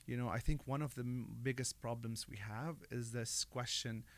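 A man from Lebanon, a guest telling stories in a relaxed interview, full of fillers and restarts. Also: mid-range voice, moderate pace, dry recording. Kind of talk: casual interview chat.

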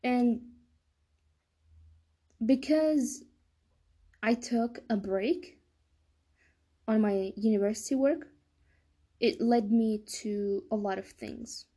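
A woman's voice: on the high side, slow, pauses often, dark tone. A young woman talking casually in a solo podcast monologue, hesitant and often stumbling and rephrasing. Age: young adult. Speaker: podcast host.

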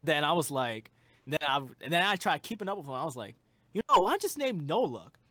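A man's voice: on the high side, sing-song, a little fast, pushed and bright, no echo. Earnest young man, dry close sound. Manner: rambling, earnest, lots of fillers. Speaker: guest musician. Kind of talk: casual interview chat.

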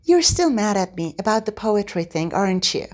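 A woman's voice: medium pitch, sing-song, normal speed, darkish tone, voice pushed up. A woman voicing a cheeky young male manservant in a story, speaking in a familiar, pleading, teasing way.

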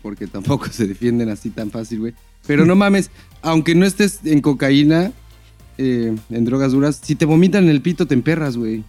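A Mexican man with a medium-pitched voice chats casually as a podcast co-host. He is slangy and swears freely, in a dry recording with faint music behind him. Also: lively intonation, brisk, darkish tone, no room sound. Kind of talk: casual chat.

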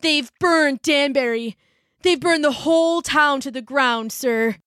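A woman's voice: high voice, slow pace, fairly bright tone, no echo, studio quality, voice pushed up. A woman reading a storybook aloud, voicing a sixteen-year-old boy messenger who is wounded and speaks in breathless, pleading bursts. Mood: urgent.

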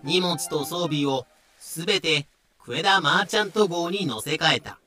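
A man narrates a pirate audio drama with comic timing. His voice is bright and crisp in attack, sitting a little high, with music playing underneath. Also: moderate pace.

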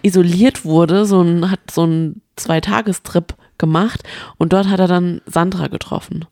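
A woman's voice: lowish voice, fairly even intonation, normal speed, mellow, no echo. A woman co-hosting a casual, gossipy chat about reality TV, telling stories with strong opinions. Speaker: podcast co-host.

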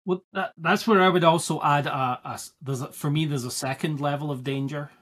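Husky Scottish man co-hosting a podcast. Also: a little high, fairly even intonation, a little fast, fairly bright tone. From Scotland. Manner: thoughtful, restarts and searches for words. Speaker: podcast co-host. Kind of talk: casual podcast discussion.

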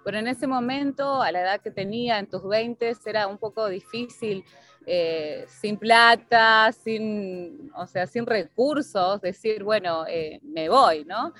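A woman in her forties with an Argentine accent, telling her own story as an interview guest, often pausing to search for words. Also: mid-range voice, fairly bright tone, dry recording. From Bahía Blanca, Argentina. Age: 40s. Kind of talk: personal storytelling in a casual interview. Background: music.

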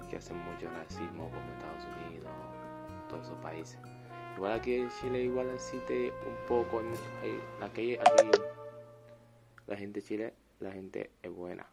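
Male listener message, Dominican accent, deep gravelly register, halting delivery, heavy music over the voice. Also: steady tone. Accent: Dominican Spanish. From Dominican Republic. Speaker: listener sending an audio message. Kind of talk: informal voice message. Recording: quiet voice message under loud music. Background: loud music.

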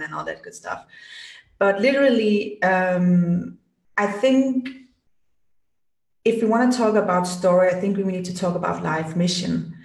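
A woman from Denmark talks slowly and haltingly, full of ums, likes and restarts, her voice a touch low for a woman. She sits a little back from the mic in an echoey room. She sounds like a guest on a casual interview show. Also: gravelly, darkish tone, far from the mic. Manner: hesitant, many fillers and restarts. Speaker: podcast guest, business strategist.

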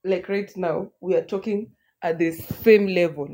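A woman's voice: lowish voice, steady tone, a little slow, warm tone, slight background noise. A young woman with a Kenyan accent co-hosting a podcast, teasing her co-host and laughing often as she switches between English and Swahili. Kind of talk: casual banter.

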